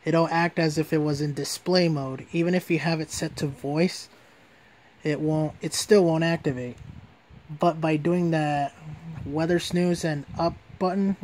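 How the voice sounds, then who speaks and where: Higher-pitched man giving a casual review. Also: a little mellow, a little hiss or hum. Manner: informal, repeats and rephrases himself. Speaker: gadget reviewer. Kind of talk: casual hands-on product review.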